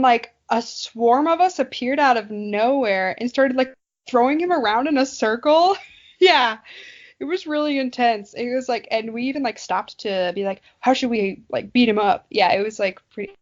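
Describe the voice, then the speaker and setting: High-pitched chatty woman podcaster. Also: moderate pace, muffled recording. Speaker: podcast co-host. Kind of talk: casual chat.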